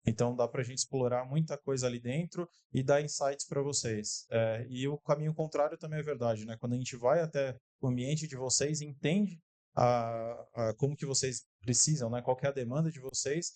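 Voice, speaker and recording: A man's voice: mid-range voice, moderate pace, a little mellow. A man speaking for his company at a live corporate event, friendly and inviting, often checking in with the audience. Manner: friendly, inviting, frequent tag questions. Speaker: company representative presenting.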